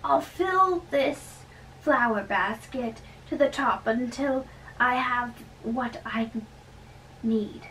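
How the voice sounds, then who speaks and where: A young adult woman giving an amateur dramatic reading of a play in a theatrical, deliberate way. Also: fairly high pitch, a bit bright. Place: small bedroom, indoors, seated on a bed. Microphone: camera a few metres away. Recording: distant camera mic with faint room noise.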